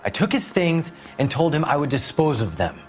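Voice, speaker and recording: A man's voice: on the high side, very animated, normal speed. An American man voicing a smug, evasive, cynical writer under police questioning in a crime game, with a sardonic, articulate manner. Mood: calculating. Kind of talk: video game voice acting, 1940s crime drama.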